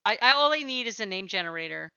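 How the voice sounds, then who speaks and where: A woman's voice: medium pitch, lively intonation, fast talker, vocal fry, sharp tone, smooth, no room sound, dull audio. A woman hosting a casual, chatty podcast about tabletop gaming, with a playful way of talking. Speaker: podcast host and interviewer.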